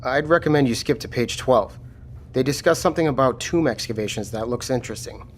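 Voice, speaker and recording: A man playing a steady, matter-of-fact military lieutenant taking charge after a disaster in an audio drama. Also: medium pitch, a little fast, a little hiss or hum, close to an everyday voice. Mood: businesslike.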